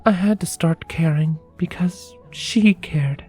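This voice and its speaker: A woman acting as a female pony in an audio story, wistful yet blunt. Her voice is soft and husky, and she takes her words slowly and with feeling. Music plays behind her. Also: low voice, full character voice. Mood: wistful, tender. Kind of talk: dramatised fan fiction audio reading.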